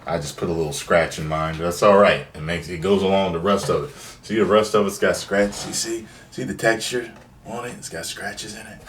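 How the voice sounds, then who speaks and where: A man's voice: low-pitched, fairly even intonation, a little fast, a bit bright, far from the mic. A man hosting a casual unboxing video, chatting in a playful, slangy, exclamatory way. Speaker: video host. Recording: roomy, off-mic, faint noise.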